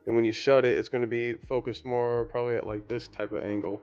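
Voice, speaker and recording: A man's voice: mid-range voice, level tone, fast, darkish tone, clean tone, muffled recording, faint music behind. A man giving a casual hands-on tutorial, rambling a little as he thinks aloud while working. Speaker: DIY car audio vlogger.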